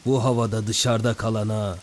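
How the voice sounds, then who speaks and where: An actor playing a gossipy, learned townsman in an animated film, chatting as he shares news. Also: lowish voice, a little fast, a little hiss or hum, lightly acted. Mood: conversational. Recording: loud rain and thunder. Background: rainstorm with thunder.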